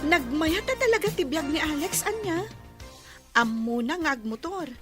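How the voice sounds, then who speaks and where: A woman's voice: high voice, animated, normal speed, music in the background. An actress playing a hardworking poor young village girl in a radio comedy drama, speaking earnestly.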